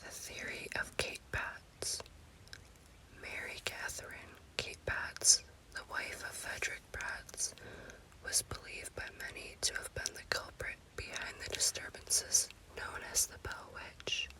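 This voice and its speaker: A woman narrates a scary story as ASMR in an unvoiced, breathy whisper, in deliberate short phrases. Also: bright, forceful tone, poor audio. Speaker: storyteller, host.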